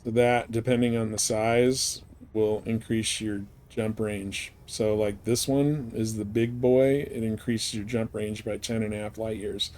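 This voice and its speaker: A man's voice: fairly low pitch, steady tone, measured pace, few pauses, a little mellow. A man livestreaming a space game, chatting casually and rambling informally as he thinks aloud. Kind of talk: casual chat while playing a space game.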